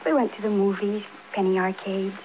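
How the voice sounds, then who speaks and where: An actress playing a childlike, defiant housewife confessing in a naive, matter-of-fact way, in 1950s radio crime drama style. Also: female voice, medium pitch, fairly even intonation, fairly quick, lightly acted. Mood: wistful, detached. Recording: dull, noisy old radio broadcast.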